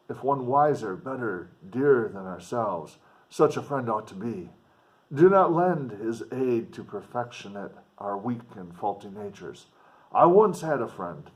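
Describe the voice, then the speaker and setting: A man reads the part of a grief-worn, noble stranger in a classic storybook. The voice sits at a medium pitch and moves with solemn deliberation. Each phrase is earnest and pleading, weighted with despair. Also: darkish tone, natural voice, poor audio.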